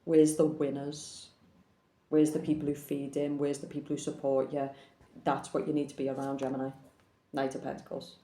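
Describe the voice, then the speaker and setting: A woman's voice: a bass voice, monotone, normal speed, warm tone, roomy sound, a little hiss or hum, low-quality recording. A woman giving a casual, blunt tarot reading, speaking frankly and swearing now and then. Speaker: tarot reader.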